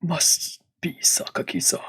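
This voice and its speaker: A woman voicing a stern, guarded male doctor from a visual novel, husky and breathy. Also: unhurried, fairly bright tone, dry recording, in a whisper, close to an everyday voice. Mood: suspicious, muted. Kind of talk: reading visual novel dialogue aloud.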